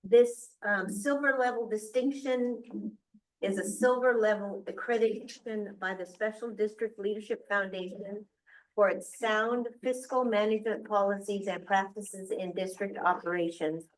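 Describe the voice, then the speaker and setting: A woman's voice: medium pitch, steady tone, moderate pace, distant mic, poor audio. A woman presiding over a community board meeting, her speech broken by frequent ums and small restarts. She keeps things moving in a plain, conversational way from the head of the table. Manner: hesitant, many ums and restarts. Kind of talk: chairing a public board meeting. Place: community services district meeting room.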